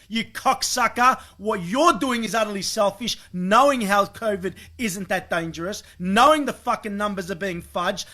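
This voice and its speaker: A man's voice: high voice, sharp tone. A man on an angry, profane rant, indignant and emphatic.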